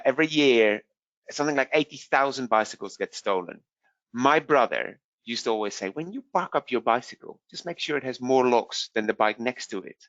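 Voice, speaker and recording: A man's voice: medium pitch, a bit bright, no echo, lo-fi sound. A man from the Netherlands with a Dutch accent, answering webinar questions with many fillers and restarts. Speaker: vendor product specialist.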